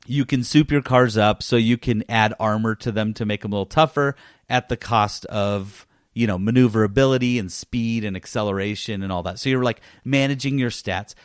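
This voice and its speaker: A man's voice: lowish voice, normal speed, smooth, dry recording, clean studio sound. A man hosting a casual gaming podcast, telling stories in an enthusiastic, emphatic way. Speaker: podcast host.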